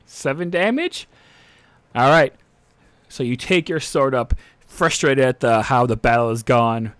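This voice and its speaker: A man's voice: medium pitch, a bit bright, dry recording. A man acting as game master, narrating the action and explaining rules in a casual tabletop game.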